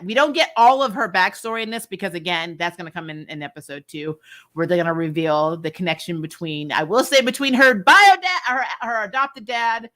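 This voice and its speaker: A woman host giving a casual, chatty recap and review, retelling things in an animated way with frequent asides. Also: lowish voice. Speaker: YouTube host reviewing TV episodes.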